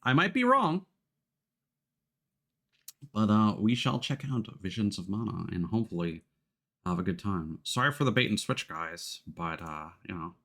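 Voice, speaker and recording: A man's voice: on the low side, very animated, normal speed, gravelly, long pauses, a bit bright. A man live-streaming games, chatting casually to viewers and thinking aloud in informal gamer slang with some swearing, on a close desk microphone. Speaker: live streamer, let's play host. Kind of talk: casual chat with viewers while setting up a game stream. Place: indoors at a computer.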